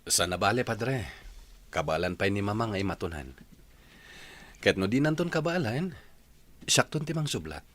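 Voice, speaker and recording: Dutiful son and husband, agreeable, lowish voice, earnest radio drama dialogue. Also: male voice, big pitch swings, normal speed, long pauses, fairly bright tone. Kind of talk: Ilocano radio drama acting.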